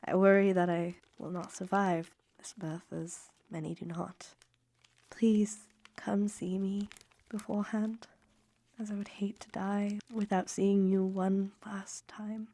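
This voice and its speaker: An actress playing a wounded, reproachful young Victorian mother in a radio drama, reading letters in formal, measured, emotive diction. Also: medium pitch, little pitch movement, dark tone. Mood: anxious, pleading.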